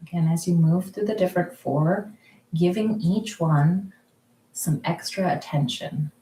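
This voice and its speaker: A woman with a lowish, mellow voice guides listeners through a mindfulness exercise, slowly and gently, pausing often. She speaks from her home kitchen, and the room adds a noticeable echo. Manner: slow, soft, calm guiding with frequent pauses. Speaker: mindfulness group leader.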